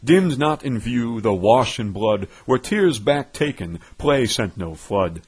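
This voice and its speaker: A man with a husky voice reciting poetry in a theatrical, pompous, declamatory way with dramatic emphasis. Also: medium pitch, expressive, slow pace. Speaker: poetry reader. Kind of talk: theatrical recitation of nonsense verse.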